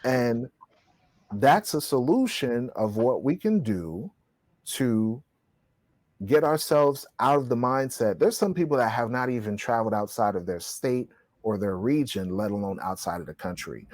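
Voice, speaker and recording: A man's voice: medium pitch, a little mellow, dry recording. A man speaking in an impassioned, preacher-like podcast discussion, stressing his points with rhetorical questions and scripture. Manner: emphatic, rhetorical, quotes scripture. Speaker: podcast co-host.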